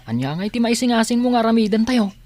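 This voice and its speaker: A woman's voice: fairly high pitch, lively intonation, fast, darkish tone, close to an everyday voice. A woman playing a lazy, defensive teenage schoolboy who fears failing, in an audio drama. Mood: defensive, uneasy. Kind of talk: amateur audio drama acting.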